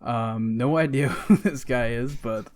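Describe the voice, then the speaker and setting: A man's voice: medium pitch, a little slow, darkish tone. A man co-hosting a podcast in casual, conversational chat. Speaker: podcast co-host.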